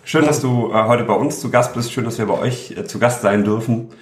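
A man's voice: mid-range voice, brisk. A man co-hosting a casual podcast chat, talking enthusiastically with many filler sounds. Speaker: podcast co-host. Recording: roomy echo.

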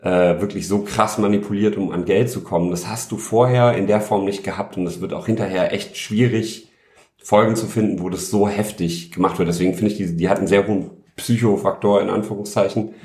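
A man's voice: lowish voice, steady tone, a little fast, darkish tone, echoey room. A man co-hosting a podcast, chatting casually and stumbling over his words as he rambles. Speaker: podcast co-host.

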